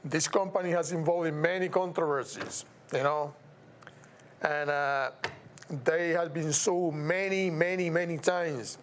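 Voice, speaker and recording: A Puerto Rican man hosts his own talk show and speaks at an unhurried, deliberate pace. His voice sits a little high for a man, and he stresses points by repeating words. A faint hiss of noise runs under the recording. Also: steady tone, fairly bright tone. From Puerto Rico. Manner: measured, emphatic, repeats words and stumbles over some. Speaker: show host. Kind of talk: slow, deliberate solo commentary.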